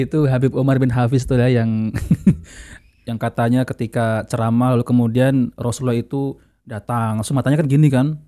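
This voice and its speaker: A man's voice: medium pitch, steady tone, moderate pace, warm tone, no echo, clean studio sound. A man with an Indonesian accent hosting an informal religious commentary, speaking directly and earnestly to viewers in an admonishing way. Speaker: video host reacting to a lecture.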